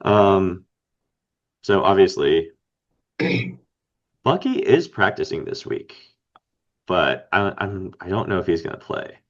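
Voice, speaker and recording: Low-voiced raspy male podcast co-host, a bit sick, thinks aloud, muffled audio. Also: expressive, slow pace, long pauses. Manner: rambling, thinking aloud with fillers. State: under the weather, raspy. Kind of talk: casual fantasy game chat.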